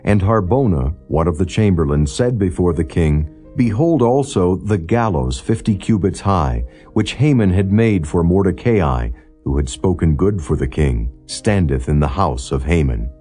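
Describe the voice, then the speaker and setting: A man reading scripture as a solemn, formal audiobook narrator, in a measured and non-dramatized style. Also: low-pitched, lively intonation, mellow. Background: background music.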